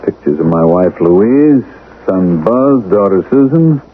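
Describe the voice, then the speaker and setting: A man's voice: deep voice, lively intonation, takes it slowly, full character voice. An actor playing a wry, weary middle-aged company man who has lost his job, in a 1970s radio drama. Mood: wry, nostalgic. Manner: wry, rueful. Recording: tinny, noisy old radio recording.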